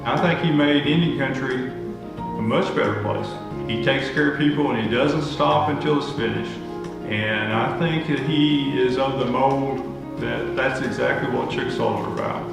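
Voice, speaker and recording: A man's voice: mid-range voice, normal speed, gravelly. A man speaking earnestly and plainly in a recorded tribute to a colleague. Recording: video played in an echoey hall over music.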